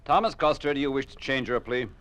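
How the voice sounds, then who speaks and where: An actor playing a stern, coercive judge at a show trial in an old radio drama, with a formal, commanding delivery. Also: male voice, medium pitch, fast talker, fairly bright tone, close to an everyday voice. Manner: formal, commanding, declamatory in court. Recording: noisy old radio recording.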